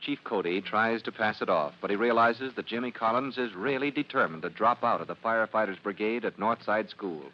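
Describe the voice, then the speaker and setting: A man's voice: fairly low pitch, brisk, hardly any pauses, close to an everyday voice. A man playing an earnest storytelling announcer, narrating a scripted 1950s radio drama. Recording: muffled, noisy old radio recording.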